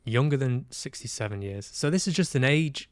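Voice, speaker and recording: A man's voice: medium pitch, expressive, moderate pace, smooth, no room sound. A man chatting casually as he hosts a livestream, hesitant and musing aloud to himself. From Manchester, UK. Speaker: livestream host. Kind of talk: casual livestream chat while playing games.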